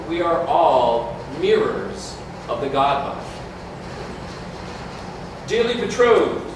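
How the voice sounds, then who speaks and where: Man as a high-voiced, grand wedding officiant, echoey hall. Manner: incantatory, solemn, proclaiming. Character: grand ceremonial male officiant of a mystical wedding. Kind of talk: staged reading of a poetic masque. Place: community hall, live staged reading. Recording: very echoey hall from far off, noisy.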